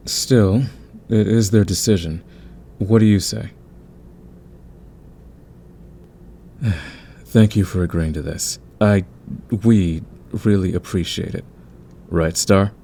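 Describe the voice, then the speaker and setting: Male thief leader acted by a man: low, gravelly, considerate and measured, audio roleplay, slight noise. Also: steady tone, mellow. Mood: surprised, considerate. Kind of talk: ASMR audio roleplay acting.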